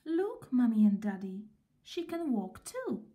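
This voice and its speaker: A woman's voice: fairly high pitch, sing-song, unhurried, mellow. A woman voicing an eager, wondering little girl in a children's storybook reading. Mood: delighted. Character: excited, delighted little girl.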